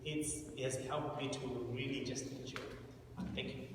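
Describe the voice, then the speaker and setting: A man in his thirties tells his own story to an audience in a large hall, halting and earnest, stopping and restarting often. He sounds set back from the mic, and the long ringing reverb and steady noise of the room carry behind him. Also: mid-range voice. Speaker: asylum seeker telling his story at an event. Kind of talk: personal testimony to an audience. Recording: echoey hall, distant mic, noisy.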